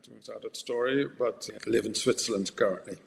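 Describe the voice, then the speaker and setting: A man, a podcast guest who speaks in a measured way and pauses often with "uh". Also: fairly low pitch, fairly even intonation, creaky. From Netherlands. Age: 60s. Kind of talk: podcast interview. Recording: long reverb, faint background noise.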